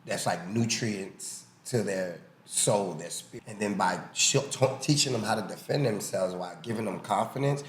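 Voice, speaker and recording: Very deep-voiced man, echoey room. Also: moderate pace, hardly any pauses. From born in the US, early childhood in Haiti, then raised in New York. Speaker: boxing coach, podcast guest. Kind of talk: casual personal storytelling and explaining. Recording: echoey room with faint background noise.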